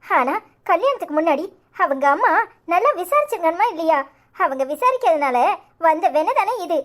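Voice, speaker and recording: Woman voicing a high-pitched young village woman. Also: very animated, normal speed. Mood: concerned. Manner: advising, sympathetic. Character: concerned, sensible young village woman advising her relative. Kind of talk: cartoon village drama dialogue.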